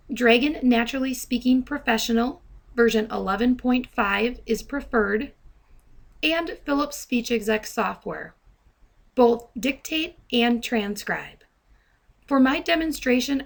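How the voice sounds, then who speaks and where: A woman presenting step-by-step software training in a deliberate, instructional manner. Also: on the high side, vocal fry. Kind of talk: step-by-step tutorial narration. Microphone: handheld dictation microphone.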